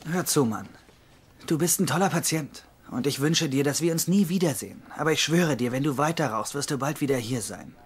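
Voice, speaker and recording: An actor playing an eager, insecure young doctor in a dubbed TV sitcom, earnest and chatty. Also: male voice, a little high, brisk, husky. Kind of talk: German-dubbed TV sitcom acting and narration.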